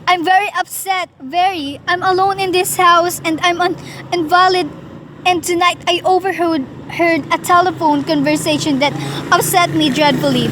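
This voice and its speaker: A woman's voice: very high-pitched, fairly bright tone, a little hiss or hum. A woman acting in a radio play as a nervous, demanding invalid wife, alone and frightened, speaking with urgency. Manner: nervous, imperious, breathless urgency.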